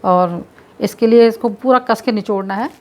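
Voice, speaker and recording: A woman presenting health tips, calmly explaining a home remedy step by step while showing how it's done, repeating points as she goes. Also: medium pitch, normal speed. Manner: explains with repetition while demonstrating. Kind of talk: demonstration lesson.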